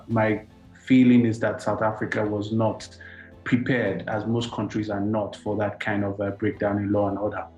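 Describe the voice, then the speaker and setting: A man speaking as a financial analyst, explaining economic effects in a measured way. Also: lowish voice, darkish tone, echoey room, poor audio. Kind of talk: studio interview answers.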